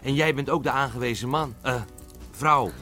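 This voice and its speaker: A man's voice: mid-range voice, moderate pace, pushed and bright, faint music behind, close to an everyday voice. Actor as a formal, evasive statesman. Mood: evasive, resigned. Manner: formal, apologetic. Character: hesitant, evasive male government leader. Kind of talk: radio drama acting.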